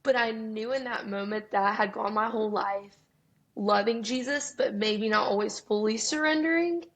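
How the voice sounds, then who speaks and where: Raspy young woman chatting candidly. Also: mid-range voice, normal speed, a bit bright. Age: 20s. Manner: candid, chatty, laughs often. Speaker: guest musician. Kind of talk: casual interview chat. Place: Nashville.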